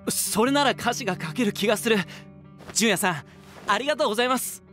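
Earnest, expressive young rocker. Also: male voice, sits high, quick pace, soft background music. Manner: polite, youthful, serious. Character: earnest, polite young male rock vocalist, thoughtful and self-doubting. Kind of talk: Japanese anime voice drama acting.

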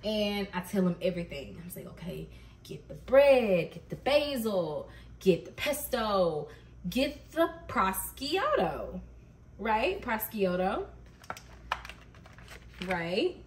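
A woman's voice: mid-range voice, sing-song, slow, a little hiss or hum. Chatty woman talking in her echoey kitchen. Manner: chatty storyteller, laughs often. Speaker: mom vlogger. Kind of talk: cook-with-me vlog chat. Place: home kitchen, indoors. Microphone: camera across the kitchen. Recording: echoey kitchen, camera mic at a distance.

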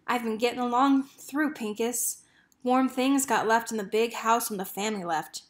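A woman's voice: on the high side, normal speed, natural voice. A woman voicing a loving, devout mother from a storybook, with tender, folksy rural speech. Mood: joyful, devout.

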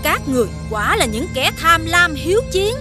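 High-pitched majestic fairy. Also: female voice, big pitch swings, moderate pace. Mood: stern. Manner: stately, commanding. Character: majestic fairy spirit, granting gifts and passing judgement. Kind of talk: animated fairy tale acting. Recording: loud music behind the voice.

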